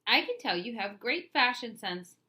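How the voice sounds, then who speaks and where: A bubbly new schoolgirl from a picture book, gushing with bright, eager chatter, as a woman reading aloud plays her. Her voice sits high and sparkling. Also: sing-song, moderate pace.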